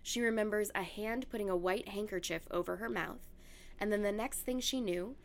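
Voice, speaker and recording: A young woman with a raspy voice, co-hosting a casual chat podcast and telling a story, laughing as she talks. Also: moderate pace, slight background noise. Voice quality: deep raspy voice from yelling. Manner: chatty, laughs while talking. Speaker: podcast co-host.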